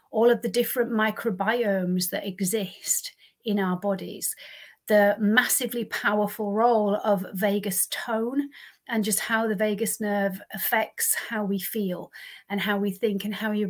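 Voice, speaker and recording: Nasal woman in her fifties with a cold. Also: mid-range voice. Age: 50s. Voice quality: slightly nasal. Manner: earnest, emphatic, stresses single words. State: congested from a cold. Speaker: podcast host and lifestyle coach. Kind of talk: solo talk to camera. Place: home office, indoors.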